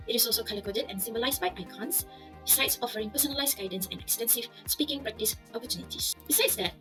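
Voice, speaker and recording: A woman's voice: fairly high pitch, sharp tone, poor audio. A woman teacher, giving a formal, upbeat scripted presentation of a classroom project. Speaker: English teacher.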